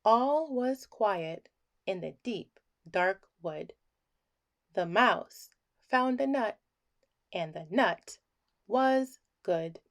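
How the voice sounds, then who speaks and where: A woman reading a children's bedtime story aloud in an expressive, theatrical way that acts out the emotions in the words. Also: mid-range voice, very slow, pauses often.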